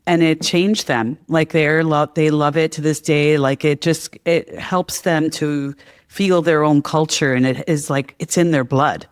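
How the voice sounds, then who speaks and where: Smooth, higher-pitched male podcast host. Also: fairly even intonation, a little slow, dry recording, studio quality. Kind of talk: casual conversational interview.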